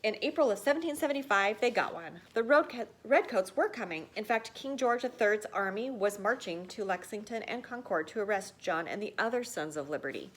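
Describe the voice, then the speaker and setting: A woman reading a children's picture book aloud like a teacher, with expressive, theatrical storytelling. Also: mid-range voice, a little fast, few pauses.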